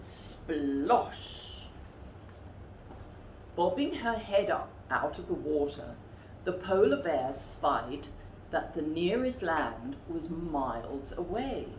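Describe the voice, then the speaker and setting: A woman reading a children's story aloud expressively, with theatrical, deliberate emphasis. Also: low-pitched, very animated, darkish tone. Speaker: storytime reader. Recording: roomy echo with faint background noise.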